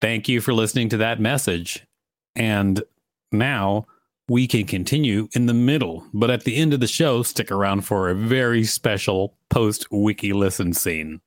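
Low-pitched man co-hosting a podcast. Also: moderate pace, no room sound. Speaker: podcast co-host. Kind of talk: reading an article aloud with casual banter.

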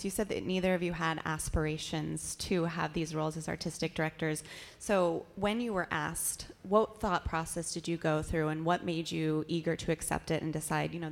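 A woman moderating a live panel on stage, her voice lowish and gravelly with plenty of fry. She keeps guests talking with encouraging short replies. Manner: encouraging, with many "yeah" and "mm-hmm" replies. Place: stage before a live audience.

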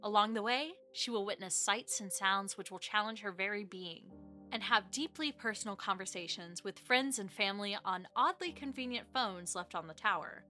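A woman's voice: mid-range voice, moderate pace, sharp tone, faint music behind. A woman reading scripted promotional announcements as a podcast producer.

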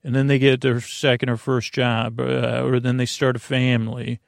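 A man hosting a bedtime podcast in a creaky voice, meandering and repetitive, halting and wandering off on tangents. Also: mid-range voice, little pitch movement, dry recording, clean studio sound. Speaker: podcast host. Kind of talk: rambling bedtime podcast monologue.

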